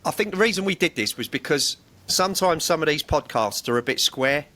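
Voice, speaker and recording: A man's voice: a little high, expressive, a little fast, sharp tone. A man co-hosting a podcast, bantering casually with a blunt, rambling way of talking and the odd swear word. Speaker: podcast co-host.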